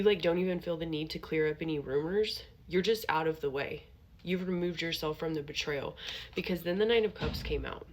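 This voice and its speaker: A woman's voice: lowish voice, a little hiss or hum. A young woman with a sniffly, congested voice from allergies, giving a casual tarot reading to her viewers, chatty and reassuring, with many "like" and "okay" tags. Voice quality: nasal. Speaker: tarot reader.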